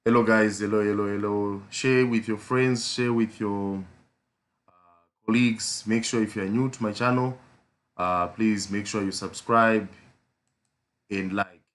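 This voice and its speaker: A man with a hoarse voice from a flu, hosting a casual live trading stream with a South African accent, rambling with lots of fillers and repeated pleas. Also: on the low side, fairly even intonation, measured pace, pauses often, a bit bright. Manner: repetitive pleading, frequent fillers.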